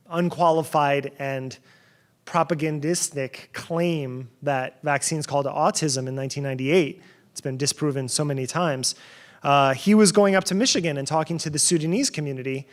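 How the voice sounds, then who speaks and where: A middle-aged man from New Jersey giving a conference keynote in a conversational way, with sarcastic asides and frequent restarts. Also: fairly high pitch. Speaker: keynote speaker.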